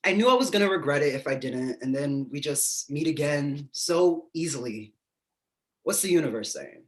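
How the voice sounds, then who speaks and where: An actor playing a quirky, quick-witted male love interest in a screenplay table read, glib and theatrical. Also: fairly high pitch, expressive, natural voice. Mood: flirty, earnest.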